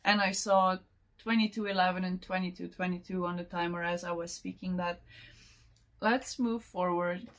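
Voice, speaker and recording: A woman's voice: fairly low pitch, steady tone, moderate pace. A young woman who is not a native English speaker, giving a casual spiritual oracle reading in a meandering way and laughing often between thoughts. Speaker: tarot and oracle card reader.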